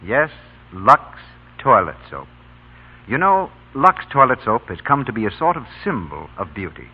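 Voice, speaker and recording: Casting: male old-time radio announcer, very animated sales delivery, tinny narrow broadcast sound. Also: medium pitch, unhurried, faint music behind. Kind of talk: scripted 1930s-40s radio commercial announcing.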